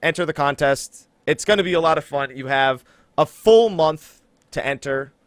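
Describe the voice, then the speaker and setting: A man's voice: a little high, moderate pace, no echo, clean studio sound. An American man hosting a casual gaming podcast, talking informally and joking as he makes announcements. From New Jersey, USA. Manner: jokey, informal, breaks off to laugh and ad-lib. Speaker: podcast host.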